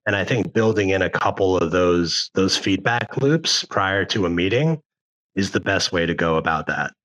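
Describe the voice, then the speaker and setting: A young man from Thailand, a guest on a sales podcast, explaining his ideas in a measured, analytical way. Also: sits low, lively intonation, fairly bright tone, poor audio. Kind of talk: podcast interview.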